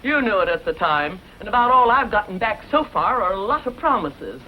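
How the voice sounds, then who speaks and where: An actress playing a stern, no-nonsense banker in a 1940s western style, brisk and scolding. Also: female voice, mid-range voice, big pitch swings, highs cut off, natural voice. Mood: stern, businesslike. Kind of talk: 1940s western film acting. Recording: hissy old film soundtrack.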